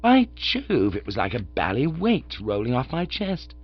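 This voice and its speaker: A man voicing an excitable young gentleman, slangy and exclaiming. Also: medium pitch, unhurried, lo-fi sound. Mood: flustered.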